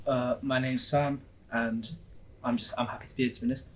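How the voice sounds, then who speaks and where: A young man, a student, speaking informally and relaxed on a panel. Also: mid-range voice, fairly quick, slight background noise. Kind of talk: panel discussion. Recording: slightly dull sound.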